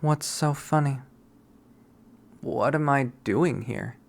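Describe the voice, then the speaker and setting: A man's voice: medium pitch, takes it slowly, vocal fry. Man playing a dazed, hesitant protagonist. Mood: confused. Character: dazed, confused, hesitant visual novel protagonist.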